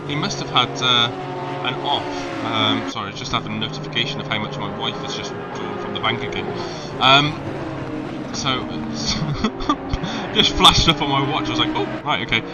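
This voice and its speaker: A man's voice: mid-range voice, animated, normal speed. A man with a Welsh accent giving live sim racing commentary, hesitant with many ums and restarts. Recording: loud background noise.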